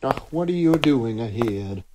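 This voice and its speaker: Gloating villain guy someone doing the voice, slow, pitch swings a lot, noisy game dub. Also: animated, natural voice. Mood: irritated. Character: gloating cartoon villain stealing a twin's place. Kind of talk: amateur game dub acting.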